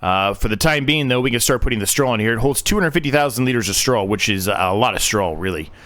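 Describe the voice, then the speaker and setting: A man's voice: mid-range voice, lively intonation, fast talker, fairly bright tone, no echo. A man losing his voice, giving casual, rambling commentary on a farming game with lots of fillers and restarts. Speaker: game streamer.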